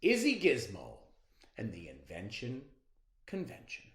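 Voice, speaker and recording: A man reading a children's storybook aloud as an expressive narrator, theatrical and deliberate. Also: medium pitch, reverberant. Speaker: storybook reader.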